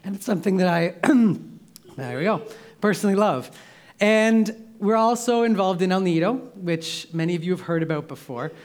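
A young man pastor preaching with casual, emotional asides, laughing often and clearing a scratchy throat into a handheld microphone in a church. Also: high voice, animated, normal speed, darkish tone. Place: church sanctuary.

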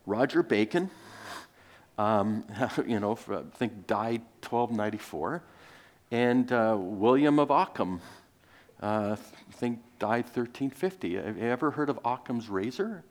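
A man's voice: medium pitch, animated, a little slow. Male preacher, Greek first language; loose, rambling sermon delivery full of restarts and asides. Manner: rambling, many restarts and fillers, chuckles. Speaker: pastor.

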